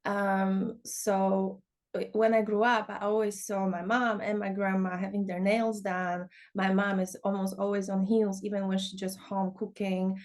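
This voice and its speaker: A young woman, talking as a guest on a podcast. She chats easily, at a middle pitch, and fills her talk with "you know" and "like". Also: level tone, measured pace, darkish tone. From Poland. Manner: chatty, many fillers like "you know". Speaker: guest, dance teacher. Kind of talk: casual podcast interview.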